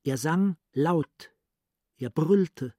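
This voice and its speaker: A man's voice: on the high side, lively intonation, extremely slow, warm tone, husky, dry recording, studio quality, full character voice. A male actor playing a cultured, introspective psychiatrist in a literary radio play. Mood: haunted recollection. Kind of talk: literary radio drama monologue.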